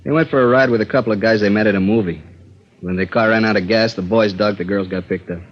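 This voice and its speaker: An actor playing a helpful bilingual policeman, brisk and matter-of-fact, in a 1950s radio drama. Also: on the low side, close to an everyday voice. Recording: dull vintage radio recording.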